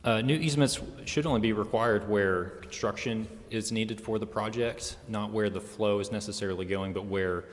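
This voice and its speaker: Lowish hesitant man in a hall. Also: normal speed, hardly any pauses. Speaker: consulting engineer answering questions. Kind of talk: technical answers at a public meeting. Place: council chamber. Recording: reverberant meeting hall.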